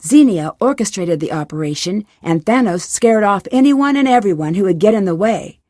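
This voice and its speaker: A woman's voice: medium pitch, lively intonation, moderate pace, warm tone, no room sound, clean studio sound, close to an everyday voice. A woman voicing a young female detective who narrates a mystery game's story in a wry, conversational way. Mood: wry, composed.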